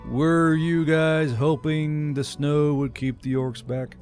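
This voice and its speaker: A man's voice: on the high side, steady tone, takes it slowly. A man voicing a wry, modest human adventurer in a fantasy audiobook, casual and questioning. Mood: curious. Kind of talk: audiobook character dialogue. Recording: soft music bed behind.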